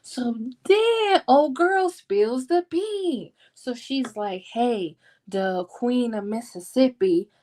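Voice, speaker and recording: A woman's voice: fairly high pitch, lively intonation, takes it slowly, mellow. A woman giving a casual, chatty book recap in a playful, sassy, slangy way, like a vlog host. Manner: sassy and playful, slangy, acting out characters' lines. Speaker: book vlogger hosting a readalong discussion.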